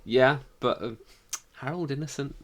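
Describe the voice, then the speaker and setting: A man's voice: medium pitch, normal speed. A man co-hosting a podcast in a casual, informal chat. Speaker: podcast co-host.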